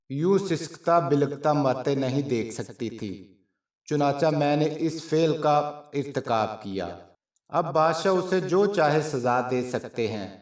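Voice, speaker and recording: Slow-paced male storyteller in a roomy echo. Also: medium pitch, a little mellow. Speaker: channel narrator. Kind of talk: scripted story narration. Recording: roomy echo, distant from mic.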